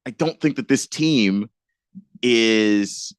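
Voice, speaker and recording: A man on a sports radio show, breaking down basketball teams in halting speech with many restarts and easy laughs. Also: mid-range voice, clean tone, no echo, studio quality. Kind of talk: casual sports radio chat.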